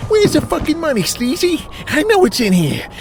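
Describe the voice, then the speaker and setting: Cocky mobster, wildly swinging pitch. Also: male voice, wildly swooping pitch, moderate pace, very noisy, full character voice. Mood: menacing. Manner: wise-guy swagger, profane. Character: skinny Italian-American mob collector, cocky, hot-headed tough guy. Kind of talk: audio drama acting.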